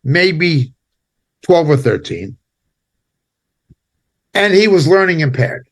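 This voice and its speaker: A man giving impassioned spoken commentary as a preacher, indignant and emphatic, speaking in short halting phrases. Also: fairly high pitch, big pitch swings.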